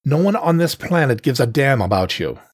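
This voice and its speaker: A man's voice: medium pitch, very animated, normal speed, slightly rough, no echo, studio quality, voice pushed up. Man voicing a mocking, menacing male panther gang leader. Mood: stern menace. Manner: mocking, theatrical, falsely gentle.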